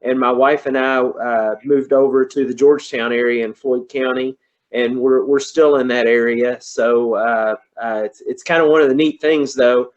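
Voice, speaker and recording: A man's voice: mid-range voice, fairly even intonation, normal speed, highs cut off. A man in his forties with a Kentucky accent, giving a folksy, conversational presentation as a guest engineer over a video call. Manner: folksy, conversational, says y'all. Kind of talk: online presentation. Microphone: computer on a video call.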